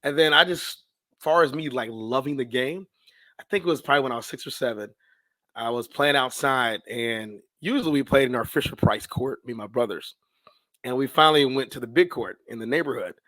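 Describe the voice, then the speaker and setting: An American man in his thirties tells stories as a podcast guest. His pitch sits in the middle and the sound is dry, with no room on it. He talks casually and in slang, voicing his own past thoughts and quick exchanges as he goes. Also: pushed and bright, dry recording, rough recording. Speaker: podcast guest, sports public address announcer. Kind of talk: casual storytelling in an interview.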